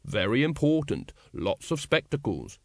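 A man's voice: medium pitch, huge pitch swings, unhurried, vocal fry, no room sound, studio quality, full character voice. A man playing a stolid, self-important monarchist in an audiobook, lecturing ponderously. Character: ponderous, stolid male monarchist pedant, enjoying attention.

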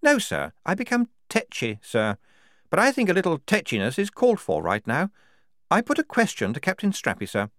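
Guy doing a tetchy principled major, high voice, swoops about, formal and picky, audiobook style. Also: wildly swooping pitch, normal speed, fairly bright tone, no echo, studio quality, exaggerated voice. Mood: tetchy, insistent. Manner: argumentative, formal, precise. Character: brisk, tetchy, principled male army major. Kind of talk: audiobook character dialogue.

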